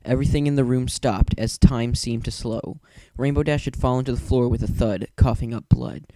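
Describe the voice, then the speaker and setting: A man's voice: medium pitch, fairly even intonation, moderate pace, dark tone, no echo. A man narrating a fan fiction story in a dramatic reading style.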